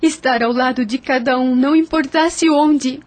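A woman's voice: high-pitched, fairly even intonation, normal speed, lightly acted. An actress playing a grieving, defiant young lady of a plantation family in a Brazilian radio soap, with an emotional, dramatic delivery. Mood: sorrowful longing.

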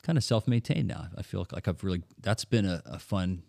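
An older man from the Netherlands, a pastor chatting as a podcast guest, telling stories in an animated, jokey way. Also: sits low, fairly quick, gravelly, no echo, clean studio sound. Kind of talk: casual interview chat.

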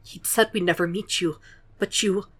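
A man's voice: high voice, fairly even intonation, normal speed, fairly bright tone. A woman voicing a grieving young man of about twenty whose voice wavers as he presses his questions. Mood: urgent. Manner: voice wavering but insistent.

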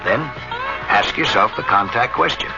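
This is a muffled vintage radio advert with music behind it. A man with a fairly low voice delivers the scripted sales copy as a commercial announcer. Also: animated, moderate pace, gravelly. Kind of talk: scripted 1970s radio ad read.

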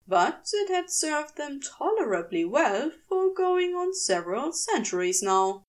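A woman narrating a story in a measured, expressive storytelling manner, as in an audiobook. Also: a little high. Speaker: podfic reader. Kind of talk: scripted fiction narration, audiobook reading.

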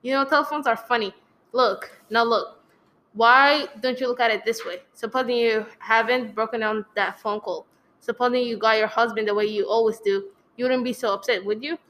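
A dismissive police desk officer played by a woman in a radio drama, with a slightly high voice. The delivery is matter-of-fact and patronizing, brushing worries aside. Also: fairly even intonation, moderate pace, a bit bright, natural voice. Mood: patient, dismissive. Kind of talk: radio play acting.

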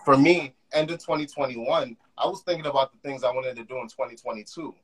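Young male podcast host, husky tone, eager and loose delivery. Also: mid-range voice, fast, fairly bright tone, rough recording. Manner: enthusiastic, talks in loose run-on phrases. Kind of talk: casual conversation. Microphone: call.

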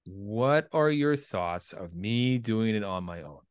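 A man's voice: fairly low pitch, lively intonation, slow, smooth, no room sound, clean studio sound. A man hosting a podcast in casual chat, rambling hesitantly with a self-deprecating streak. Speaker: podcast host.

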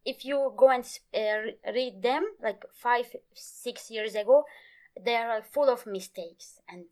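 Halting woman, slightly high voice. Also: darkish tone. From Turkey. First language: Kurmanji Kurdish. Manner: halting, searching for words, many "I mean"s. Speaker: guest, Kurdish language teacher. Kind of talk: casual interview conversation.

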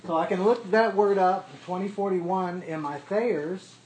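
Man, Bible study leader, fairly high voice, casual explainer, roomy faintly noisy recording. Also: measured pace, a little mellow. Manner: explains step by step, checks in with "okay?" often. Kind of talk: casual teaching to a small group. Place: room with a small group. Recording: echoey room, faint background noise.

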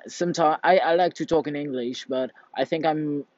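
A man's voice: fairly high pitch, darkish tone, no echo. A young man from Portugal with a Portuguese accent, talking casually to camera in English, his second language, often stopping to search for words. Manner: halting, searching for words, many restarts. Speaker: vlogger. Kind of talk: casual rambling monologue. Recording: dull lo-fi dry sound.

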